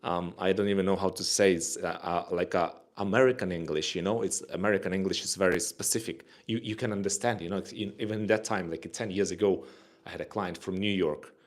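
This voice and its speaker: A man's voice: sits low, fairly even intonation, brisk. A man from Ukraine with a Ukrainian accent, co-hosting a casual livestream chat, rambling and repeating words as he searches for phrasing. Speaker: co-host.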